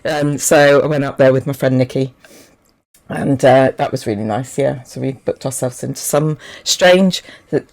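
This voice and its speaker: A British woman with a soft voice co-hosting a casual podcast, hesitant and full of ums. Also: very deep voice, normal speed. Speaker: podcast co-host. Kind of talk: casual chat.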